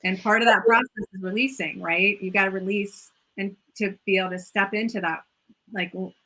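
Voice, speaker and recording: A woman's voice: mid-range voice, fairly even intonation, brisk, pushed and bright, low-quality recording. A woman hosting a casual interview, enthusiastic and chatty, often repeating phrases and saying "like". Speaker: host and yoga mentor. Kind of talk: casual video-call interview.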